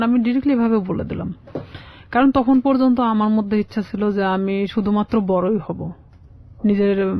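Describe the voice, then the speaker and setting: Woman telling her life story on radio, lots of he said i said, creaky voice, bit lo-fi. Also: mid-range voice, dry recording. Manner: recounts dialogue by quoting both sides. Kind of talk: conversational personal storytelling. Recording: slightly dull lo-fi radio audio.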